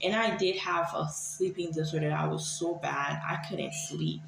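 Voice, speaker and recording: A woman from Haiti giving a personal, confessional monologue to viewers in a hesitant, halting way, often repeating herself. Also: sits low, fairly even intonation, creaky, echoey room, noisy background. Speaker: vlogger sharing personal experience.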